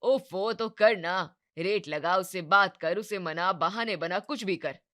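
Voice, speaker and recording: A confident young city man acted by a woman pushes hard in a loud voice. He is insistent and persuasive, the eager friend of an animated moral story. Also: fairly low pitch, slow pace. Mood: urgent, insistent.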